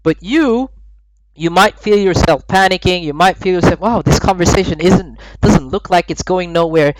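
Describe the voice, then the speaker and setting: A man's voice: sits high, moderate pace, noisy background. A man in his thirties from Sweden, a dating coach giving laid-back voice-over commentary and often checking in with "right?". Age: 30s. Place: voice-over recorded apart from the footage.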